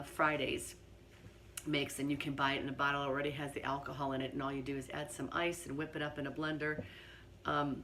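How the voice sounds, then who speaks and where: Hoarse middle-aged woman, low-pitched, speaking softly in her kitchen with a faint hiss behind. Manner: quiet, partly whispered speech. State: hoarse from laryngitis. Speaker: home cooking host. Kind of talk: casual cooking demonstration. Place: home kitchen.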